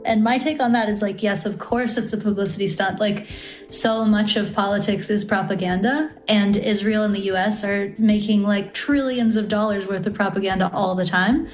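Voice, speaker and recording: A woman gives a casual, conversational interview. Her voice sits at a medium pitch, with light music behind it. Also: fairly even intonation, normal speed. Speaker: interviewee, singer-songwriter and activist.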